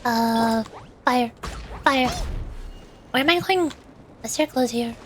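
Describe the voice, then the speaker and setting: A woman's voice: fairly high pitch, takes it slowly, a little hiss or hum. A woman live-streaming a game, chatting and reading dialogue aloud with playful, sarcastic asides. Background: game music.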